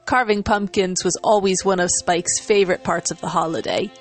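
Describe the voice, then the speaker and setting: A woman's voice: fairly low pitch, steady tone, normal speed. Woman narrating a story over music. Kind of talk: dramatic scripted story narration.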